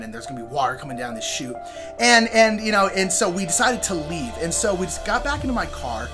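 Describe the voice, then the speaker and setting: A young American man telling a personal story casually, in an animated, informal way with joking asides. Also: fairly high pitch. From Sacramento, California. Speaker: storyteller, show host.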